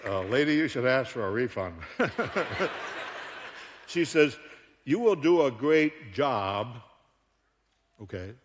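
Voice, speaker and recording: An older man from Buffalo preaches in a playful, joking way and keeps breaking into laughter. His voice sits in an ordinary middle pitch. He speaks into a stage microphone, and the sound rings with the long reverb of a large church hall. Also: slow, long pauses. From Buffalo, New York. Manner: joking, animated storyteller with frequent laughs. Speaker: guest speaker. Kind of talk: humorous sermon. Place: church auditorium.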